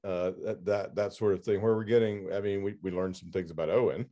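A man hosting a casual podcast interview, feeling out each question in halting, rambling phrases. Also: deep voice, steady tone, mellow. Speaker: podcast host interviewing an author.

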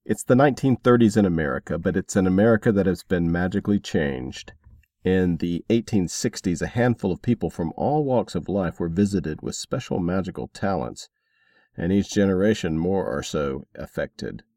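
A man's voice: deep voice, lively intonation, normal speed, mellow, dry recording, clean studio sound. A man hosting a podcast, reading scripted announcements and story recaps. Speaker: podcast host.

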